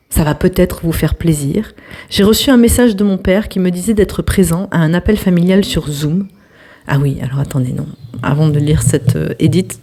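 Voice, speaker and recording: A young woman reading an online post aloud as a storytelling host, with lively delivery, some hushed asides, and chatty comments to her viewers. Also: low voice.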